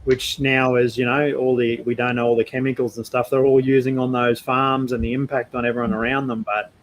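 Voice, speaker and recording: Halting, reflective Australian man hosting a podcast. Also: mid-range voice, flat delivery, a little hiss or hum, low-quality recording. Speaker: podcast host. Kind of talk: casual conversational interviewing.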